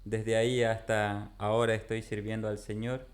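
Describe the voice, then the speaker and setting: A man in his thirties from Ecuador, a missionary pastor guest describing his background in a plain, unhurried way. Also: on the low side, flat delivery. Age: 30s. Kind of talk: interview answers. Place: radio studio.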